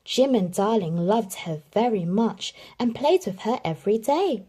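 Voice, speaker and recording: Husky, lively woman reading a children's story. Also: mid-range voice. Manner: expressive storytelling with dramatic pauses. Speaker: narrator. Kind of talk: reading a children's picture book aloud.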